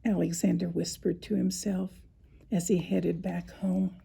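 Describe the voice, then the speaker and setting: A woman narrating a scripted children's story, with expressive, deliberate phrasing and dramatic pauses. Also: lowish voice, gravelly, slightly rough. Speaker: storybook reader.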